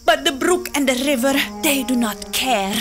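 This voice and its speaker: An actress playing a proud, desperate young native woman in a full-cast audio drama, speaking in slow, poetic, impassioned pleas. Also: sits high, animated, over music. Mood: defiant.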